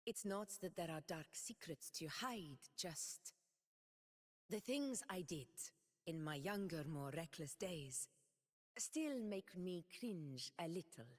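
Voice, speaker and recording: A woman's voice: fairly low pitch, expressive, slow, in a large hall. A solemn, dignified ex-gladiator noblewoman. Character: proud noble woman, former gladiator leader. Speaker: game character. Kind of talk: game character voice lines.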